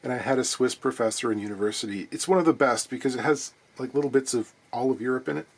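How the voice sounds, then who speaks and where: A man's voice: mid-range voice, fast, breathy edge. Wry, chatty male luthier host. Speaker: guitar repair luthier and channel host. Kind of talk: casual workshop vlog.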